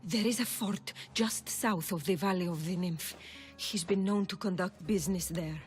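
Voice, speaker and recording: An actress playing a frightened oracle in a video game, urgent and pleading. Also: female voice, lowish voice, normal speed, faint music behind, close to an everyday voice. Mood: confiding. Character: Pythia, frightened woman oracle under threat.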